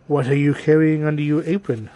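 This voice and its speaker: Man acting a crafty, malicious storybook wolf, slightly high. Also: steady tone, a little fast, mellow, dry recording, close to an everyday voice. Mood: sly coaxing. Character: malicious, crafty male wolf, slyly coaxing a child.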